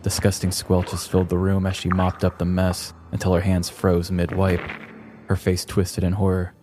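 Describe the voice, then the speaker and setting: A man narrating a scripted true-crime comedy podcast in a theatrical, deliberate storytelling manner. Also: low-pitched, monotone, background noise.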